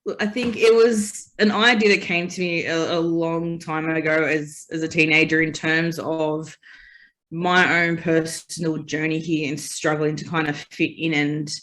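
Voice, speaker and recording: A woman's voice: low-pitched, flat delivery, measured pace, a bit bright. An Australian woman interview guest, telling her own story in a reflective way with frequent "you know" and "like". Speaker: guest, festival founder. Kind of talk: casual interview chat.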